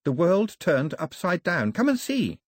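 A woman's voice: on the low side, big pitch swings, measured pace, no room sound, clean studio sound, voice pushed up. A man voicing an earnest, proper young girl who speaks in a prim, insistent way. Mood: urgent, bossy.

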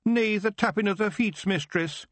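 A man's voice: normal speed, a bit bright, no room sound. Fields: man voicing a tiny rowdy Scottish fairy warrior. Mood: wailing. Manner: high, squawking, boisterous dialect. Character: tiny rowdy male blue-skinned fairy warrior leader.

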